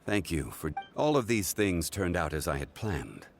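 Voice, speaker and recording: Ancient god for a game: very low, expressive, formal and measured, faint noise behind. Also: male voice, creaky, exaggerated voice. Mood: solemn, measured. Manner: formal, deliberate phrasing. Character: calm ancient male god in human form, dignified, measured. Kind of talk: video game story dialogue.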